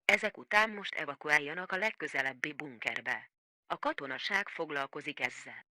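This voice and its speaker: A man's voice: fairly high pitch, expressive, brisk, bright, forceful tone. A man voicing a formal government emergency alert announcer in a scripted broadcast. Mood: urgent, formal. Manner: formal, official.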